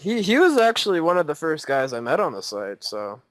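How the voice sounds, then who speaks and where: A man's voice: a little high, big pitch swings, brisk, a bit bright, no room sound, clean studio sound. A young man co-hosting a casual podcast, joking and tripping over his words as he talks with friends in one room. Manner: joking, stammering restarts. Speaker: podcast co-host. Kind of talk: casual chat. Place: shared room with co-hosts. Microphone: clip-on mic on shirt.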